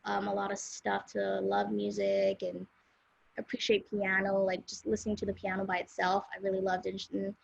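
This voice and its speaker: A young woman from Los Angeles chats as a guest, her voice heavily creaky with vocal fry. She rambles easily, full of "um" and "like", in a dry, close sound with no room around it. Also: medium pitch, moderate pace, no echo, dull audio. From South Central Los Angeles, USA. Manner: relaxed, rambling, with many fillers like "um" and "like". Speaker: guest singer-songwriter. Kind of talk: casual interview chat.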